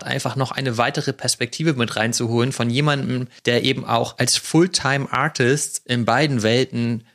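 A man's voice: mid-range voice, fairly bright tone. Upbeat male podcast host. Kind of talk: casual podcast chat.

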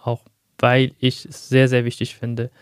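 Soft-spoken young man. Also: medium pitch, monotone, smooth, no room sound, studio quality. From Syria. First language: Arabic. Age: young adult. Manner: soft-spoken, reflective, halting. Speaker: guest. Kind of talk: personal interview.

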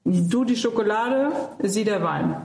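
A woman's voice: mid-range voice, animated, measured pace, gravelly, a little mellow, reverberant. A woman hosting a wine podcast, asking questions and chiming in often. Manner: chatty, asks questions and backchannels a lot. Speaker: podcast host. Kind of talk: casual interview chat.

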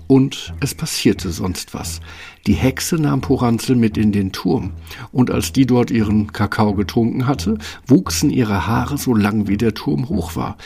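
A man's voice: mid-range voice, creaky, a little mellow, husky. A man narrating a children's fairy tale as a storybook narrator, with a wry, tongue-in-cheek touch. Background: music.

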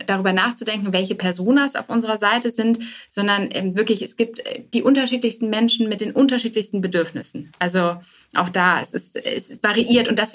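Casting: woman, mid-pitched, candid startup guest, conversational, sprinkles in English business terms. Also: fairly bright tone. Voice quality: English business loanwords mixed in. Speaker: interview guest, startup co-founder. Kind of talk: casual interview conversation.

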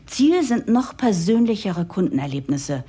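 Earnest, husky office narrator. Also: female voice, medium pitch, very animated, a little slow, dark tone. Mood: formal, persuasive. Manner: earnest, formal, diplomatic. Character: earnest, composed woman narrator championing change, jargon-heavy. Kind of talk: first-person audio drama narration.

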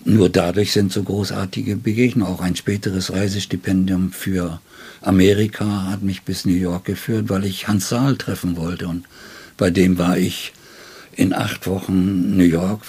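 A man's voice: deep voice, a little mellow, slightly rough. An older man, a poet being interviewed, speaking in a reflective way. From Germany. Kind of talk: reflective interview talk and poetry reading.

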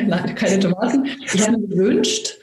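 A middle-aged woman, an actress, speaking reflectively and searchingly in conversation, with many pauses. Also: mid-range voice, lively intonation, echoey room, slight background noise. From Germany. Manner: thoughtful, searching, soft-spoken with many pauses. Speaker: actress and co-host.

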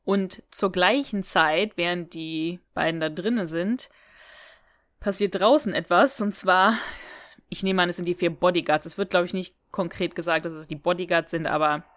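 A woman's voice: lowish voice, steady tone, moderate pace, a bit bright, dry recording, clean studio sound. A woman co-hosting a podcast, chattily retelling and reviewing a story in a casual, giggly way. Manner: chatty, giggly, acting out quoted lines. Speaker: podcast co-host. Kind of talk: casual chat retelling and reviewing an audio drama episode.

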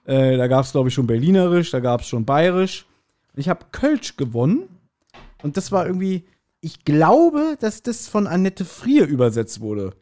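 Higher-pitched man in casual podcast banter. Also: big pitch swings, normal speed, mellow. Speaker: podcast co-host. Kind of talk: casual chat.

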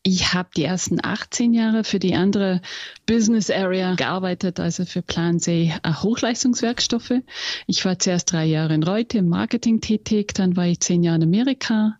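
A woman's voice: fairly low pitch, darkish tone, dry recording, dull audio. A woman in her fifties who grew up in England with English as her first language, a guest in a podcast interview, thoughtful and restarting her sentences often. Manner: thoughtful, frequent restarts and fillers. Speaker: incoming board member, guest.

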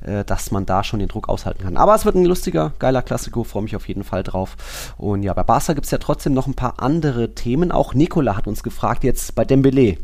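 A man's voice: mid-range voice, a little mellow. A man co-hosting a football podcast, discussing matches and players in an informal, colloquial, casual style.

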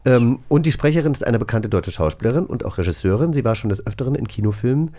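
A man's voice: medium pitch, lively intonation, fast, mellow. A man hosting a podcast in a casual, chatty style. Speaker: podcast host. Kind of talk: casual chat, guessing game.

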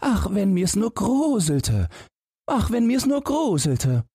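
A man's voice: high-pitched, sing-song, slow, warm tone, husky, no echo, clean studio sound, voice pushed up, full character voice. A man voicing a naive, simple young lad in a fairy tale, with a guileless, wistful way of talking.

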